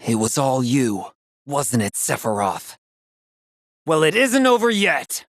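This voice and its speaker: A man's voice: medium pitch, slow, dry recording, studio quality, full character voice. A man playing a brave, cocky young soldier hero in a video game, casual and earnest, loyal and defiant. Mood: anguished, determined. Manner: casual, wisecracking, earnest, expressive.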